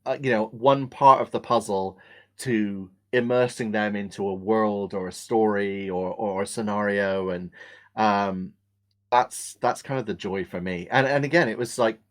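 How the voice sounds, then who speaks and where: A man's voice: on the low side, measured pace. A talkative man with an English accent, a voice actor, explaining things at length in a conversational interview. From born in England, grew up in England, Australia and America. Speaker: voice actor and screen actor, podcast guest. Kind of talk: conversational interview, telling personal history.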